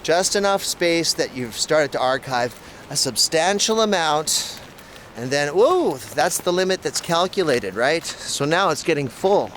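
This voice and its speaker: A man's voice: a little high, expressive, measured pace, a bit bright, slight background noise. A man from Canada talking casually outdoors in a rambling way, often restarting his thoughts while holding his phone. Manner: rambling, thinks aloud with many restarts. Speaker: vlogger. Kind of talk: casual outdoor talk to camera.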